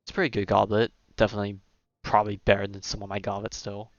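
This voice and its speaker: A man with a fairly low voice chats casually on a game stream, rambling as he thinks aloud. The sound is dry and slightly dull, with faint music behind him. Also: completely flat delivery, quick pace, dry recording. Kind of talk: casual livestream gameplay commentary.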